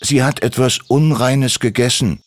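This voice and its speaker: A man's voice: medium pitch, steady tone, unhurried, a little hiss or hum, pitched above the performer's own voice, lightly acted. A man voicing a male kobold in a children's story, talking in a stern, lecturing way.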